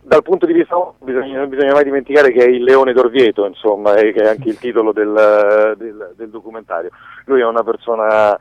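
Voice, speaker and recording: Halting man, dull lo-fi radio sound. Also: medium pitch, fairly even intonation, a little mellow. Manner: halting, searching for words, repeats phrase starts. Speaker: documentary filmmaker being interviewed. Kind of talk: conversational radio interview.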